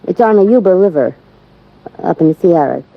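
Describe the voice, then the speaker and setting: A woman's voice: fairly low pitch, lively intonation, normal speed, creaky, no echo. An actress playing a guarded, hesitant young woman looking for a missing man in a 1950s radio drama. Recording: dull lo-fi old radio recording.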